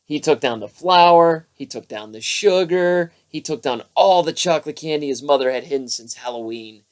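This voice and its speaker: A narrator reading a children's bedtime story aloud with expressive, emphatic delivery. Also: mid-range voice, normal speed.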